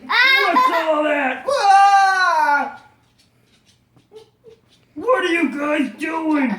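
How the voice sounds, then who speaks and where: A woman's voice: high-pitched, a bit bright, heavily acted. A woman voicing a childlike, forgetful and vain dragon in an improvised puppet show, stammering and bursting out dramatically. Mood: indignant, shouting. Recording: echoey room, distant mic, faint noise.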